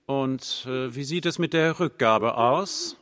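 A man's voice: mid-range voice, slow, a bit bright. A man playing a spoiled, impatient and childishly cruel king in a comic audio drama, with a pompous, whiny manner. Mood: petulant, insistent. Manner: pompous, whiny, hesitant filler words.

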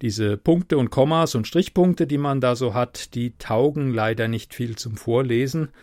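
Man with a faint Palatine accent, mid-pitched, casual podcast host who reads with dramatic emphasis on a dry close mic. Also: measured pace, a little mellow, no room sound. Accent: Palatine German, softened by speech training. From Mannheim area, Germany. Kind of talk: casual podcast intro, then literary reading.

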